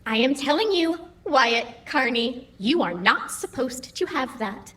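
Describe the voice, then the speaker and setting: A high, lively female computer AI played by an actress for an audio drama. She is cheeky and literal-minded. Her phrasing is formal and precise, while her pitch leaps about expressively. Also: measured pace. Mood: wry.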